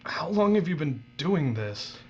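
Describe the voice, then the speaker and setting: A frightened, higher-voiced bewildered newcomer. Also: male voice, sing-song, darkish tone, breathy edge, dull audio, soft background music, heavily acted. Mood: horrified. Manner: nervous, halting, appalled. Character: frightened, bewildered male newcomer, a conscripted worker. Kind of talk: audio drama acting.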